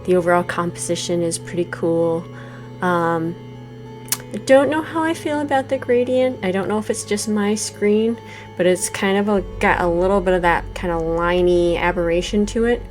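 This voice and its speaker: A woman's voice: on the low side, moderate pace, darkish tone, soft background music. A woman in her forties giving thoughtful, hesitant let's play commentary with many fillers while reviewing a game. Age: 40s.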